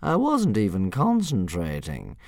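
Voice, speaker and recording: A man's voice: on the low side, wildly swooping pitch, unhurried, creaky, a little mellow, dry recording, studio quality, exaggerated voice. A man voicing a vain, bullying female dragon who drawls with fake modesty. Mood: smug.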